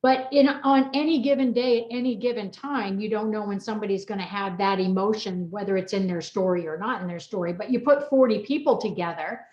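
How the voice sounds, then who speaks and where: A woman's voice: a little high, darkish tone, reverberant, lo-fi sound. A woman hosting a casual group interview, effusive and encouraging, often jumping in with interjections. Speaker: host, coach and book compiler.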